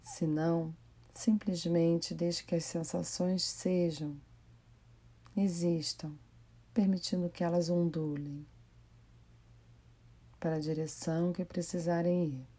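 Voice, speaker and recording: A woman with a Brazilian accent guiding a meditation in a gentle, unhurried manner with pauses. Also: fairly low pitch, level tone. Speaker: meditation guide. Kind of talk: guided body scan meditation.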